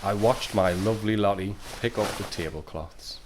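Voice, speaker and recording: A man's voice: lowish voice, moderate pace, noisy background, natural voice. A man playing a wistful, reflective narrator of an audio play, who watches those he loves. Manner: reflective storytelling.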